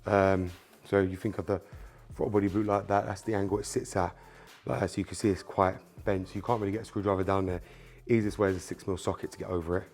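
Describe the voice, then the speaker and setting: A man's voice: low-pitched, flat delivery, fast talker, a little mellow, soft background music. A man whose first language is Spanish, hosting a hands-on car repair tutorial, talking casually to viewers with frequent ums and obviouslys. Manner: casual, repeats filler words like "um" and "obviously"; addresses viewers directly as "you guys" while working. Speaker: car mechanic channel host.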